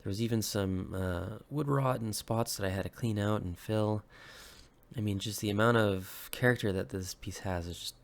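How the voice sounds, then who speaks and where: Low-voiced man, slightly sick, relaxed. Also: normal speed, gravelly. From Hawaii. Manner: relaxed, many ums and uhs, corrects himself. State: slightly sick, recovering from illness. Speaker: woodworker and weapon maker. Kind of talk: casual show-and-tell vlog.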